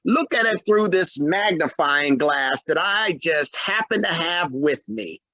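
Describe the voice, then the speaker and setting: A man's voice: on the high side, animated, unhurried, pushed and bright, dry recording. A man acting a genial family friend with salesman-like patter in an old-time radio comedy. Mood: salesmanlike.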